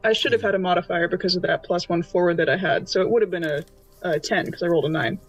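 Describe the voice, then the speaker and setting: A woman's voice: lowish voice, fast talker. A woman playing a tabletop role-playing game, chatting casually and playfully with the group. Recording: dull lo-fi sound, music behind.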